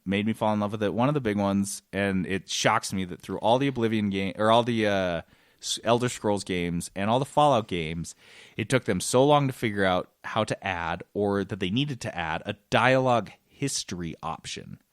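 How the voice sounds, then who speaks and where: A man's voice: on the low side, normal speed, clear, resonant tone, dry recording, studio quality. A man co-hosting a podcast, talking casually about video games in an enthusiastic, rambling way as he explains things. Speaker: podcast co-host. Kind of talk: casual video game chat.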